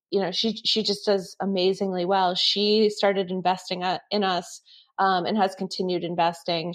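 A woman in her thirties, a company founder, answering interview questions with enthusiasm and frequent ums. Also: medium pitch. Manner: enthusiastic, lots of fillers. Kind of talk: casual interview chat.

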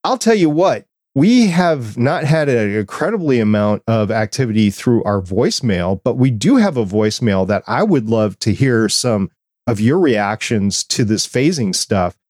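A man's voice: mid-range voice, big pitch swings, moderate pace, a little mellow, no echo, studio quality. A middle-aged man speaking as a podcast co-host in a casual fan discussion.

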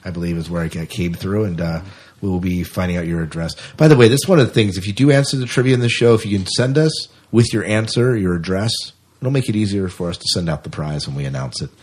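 A man's voice: sits low, fast, a little mellow, slightly rough. A man co-hosting a podcast in casual, rambling chat, often repeating his phrases. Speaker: podcast co-host.

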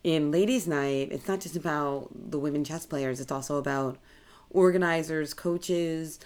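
A woman's voice: low voice, normal speed, a little mellow. A woman hosting a podcast, interviewing a guest and asking follow-up questions. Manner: engaged, asks follow-up questions. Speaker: podcast host.

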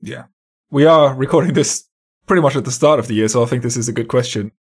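A male interviewer asks short, direct questions at a quick clip. His pitch sits in the middle, and his delivery is brisk and to the point. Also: breathy edge.

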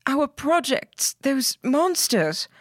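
A woman's voice: high-pitched, big pitch swings, slow pace, no echo, clean studio sound, voice pushed up, heavily acted. A woman voicing a resolute, earnest, self-sacrificing girl in an audiobook. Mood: remorseful.

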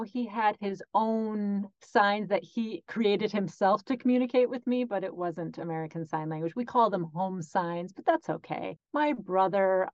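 Woman interpreter, mid pitch, measured phrasing, dry and slightly dull recording. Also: a little mellow, dry recording. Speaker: sign language interpreter voicing the guest.

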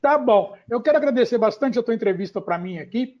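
A man's voice: very high voice, expressive, fast talker. A man hosting a casual podcast interview, asking questions in a friendly, conversational way. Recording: dull lo-fi sound.

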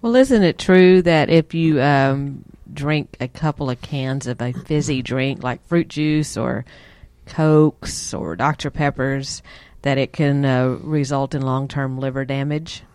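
A man's voice: mid-range voice, takes it slowly, darkish tone, clean tone, dry recording. A man co-hosting a health talk show, asking questions in an easygoing way. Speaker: co-host.

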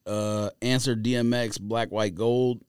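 A man's voice: lowish voice, fairly even intonation, unhurried, clear, resonant tone, dry recording, studio quality. A man hosting a podcast with blunt, slangy, teasing banter. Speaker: podcast host.

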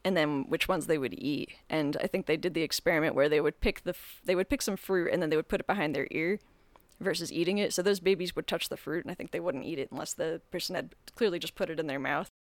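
A man's voice: on the high side, fast, dry recording. Easygoing man explaining on a podcast. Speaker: podcast co-host. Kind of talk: casual discussion.

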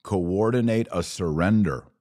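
Male podcast host, very low voice, unhurried commentary with weighted pauses. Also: darkish tone. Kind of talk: political and religious commentary.

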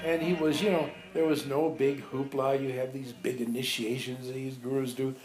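A man informally reminiscing to visitors as a spiritual teacher, telling animated anecdotes and acting out remembered dialogue. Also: mid-range voice, normal speed, over music. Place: echoey indoor room at an ashram. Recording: roomy, echoey sound.

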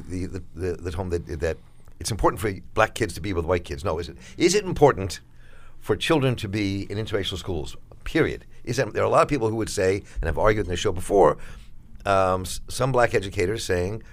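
Deep fast-talking guy hosting a call-in radio panel, keeps pushing the question. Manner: probing, repeats questions emphatically. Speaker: radio talk show host. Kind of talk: moderating a panel discussion.

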